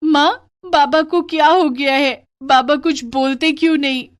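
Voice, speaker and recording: A woman's voice: high-pitched, steady tone, a little slow, voice pushed up. A woman voicing an anxious, caring young daughter in a dramatized moral story, earnest in manner. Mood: alarmed.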